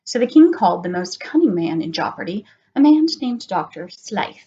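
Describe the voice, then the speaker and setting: A woman's voice: mid-range voice, sing-song, moderate pace, mellow, muffled recording. Woman reading a children's storybook. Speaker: storybook session reader. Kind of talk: read-aloud children's storytelling.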